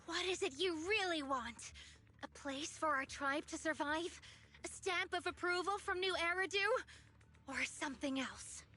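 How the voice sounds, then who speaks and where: A woman's voice: very high-pitched, unhurried, sharp tone, heavily acted. An actress playing a principled young video game heroine who speaks with earnest, resolute defiance to protect her people. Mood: earnest, challenging. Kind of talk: dramatic video game voice acting.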